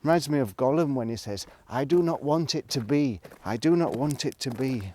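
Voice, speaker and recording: A man talking casually to a vlog audience in a reflective, rambling monologue, musing haltingly and trailing off between thoughts. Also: mid-range voice, lively intonation, dark tone. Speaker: vlogger. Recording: noticeable background noise, clicks.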